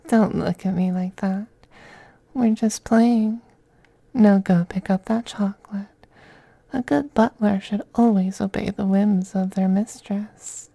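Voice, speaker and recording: Slow, whispery femboy vampire played by a man. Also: high voice, no room sound, full character voice. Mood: mock hurt. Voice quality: soft, breathy, often dropping to a whisper. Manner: slow, coaxing, condescendingly tender. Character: possessive, teasing femboy vampire, cruelly sweet master. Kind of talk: ASMR roleplay, scripted, close and intimate.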